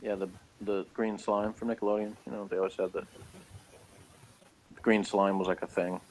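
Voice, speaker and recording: A man's voice: fairly low pitch, flat delivery, moderate pace, a little hiss or hum, low-quality recording. An American man chatting casually as a co-host of a review show, with a laid-back, dry, blunt manner. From United States. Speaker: podcast co-host reviewing a TV episode. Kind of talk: casual chat.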